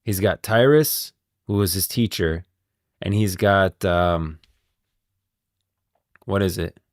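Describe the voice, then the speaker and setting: A man's voice: low voice, slow pace, no echo, studio quality. A man streaming casual game lore commentary, rambling and thinking aloud as he goes, often repeating himself. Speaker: streamer, host.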